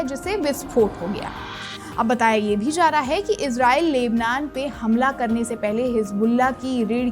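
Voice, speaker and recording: High-pitched woman narrating news over music. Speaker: news presenter. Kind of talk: scripted news explainer narration. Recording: music bed under the voice. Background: background music.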